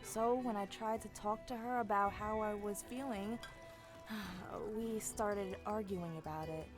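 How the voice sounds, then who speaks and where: A woman's voice: medium pitch, fairly even intonation, a little slow, over music, natural voice. A woman acting a young elf assassin in a fantasy audio drama, charming and sweetly feigning innocence. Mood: troubled, confiding. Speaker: voice actor in an audio roleplay.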